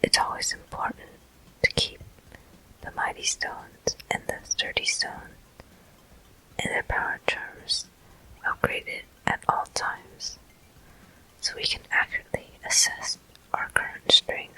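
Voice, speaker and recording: An ASMR gameplay host speaks entirely in a breathy whisper. The delivery is very slow, gentle and deliberate. The sound is crisp and very bright, with hissing air in place of a full voice. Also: rough recording.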